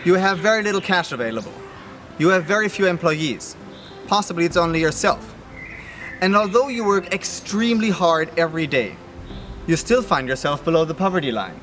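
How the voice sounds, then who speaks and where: A young man reads scripted narration as a student presenter. His voice sits high for a man, and faint music plays behind him. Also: lively intonation, brisk, a bit bright. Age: young adult.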